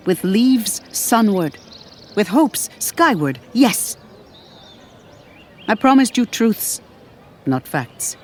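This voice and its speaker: A woman voicing an aged, cheerful, mischievous historian with authority, her voice a little cracked and weary, telling her tale in measured, oratorical phrases. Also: mid-range voice.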